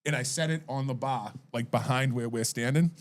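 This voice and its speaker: A man's voice: mid-range voice, brisk. A man co-hosting a podcast, telling anecdotes in casual chat and acting out what others said. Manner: storytelling, acts out quotes. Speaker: podcast co-host.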